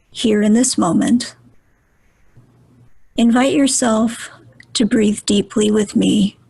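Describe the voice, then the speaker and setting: Female meditation guide, very husky and breathy, unhurried and gentle, close dry sound. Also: mid-range voice, no room sound, low-quality recording. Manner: gentle, soothing, deliberate with pauses.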